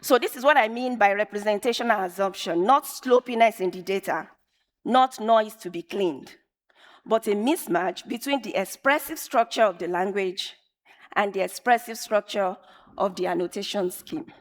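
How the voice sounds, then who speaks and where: A woman whose first language is Yoruba, giving a measured, emphatic conference talk and repeating phrases for effect. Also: mid-range voice. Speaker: conference speaker. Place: large conference hall. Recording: long reverb of a large hall.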